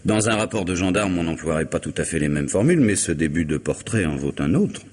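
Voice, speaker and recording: Urbane radio-play lawyer, deep voice with rasp, formal diction, composed and inquisitive. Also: male voice, lively intonation, a little mellow. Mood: probing, composed. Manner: measured, formal, questioning. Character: urbane, probing male lawyer and family friend. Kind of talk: vintage French radio drama acting.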